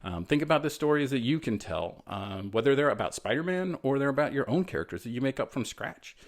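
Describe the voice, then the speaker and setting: A man's voice: mid-range voice, lively intonation, brisk. A man doing a friendly, encouraging storytime reading for children, with casual asides.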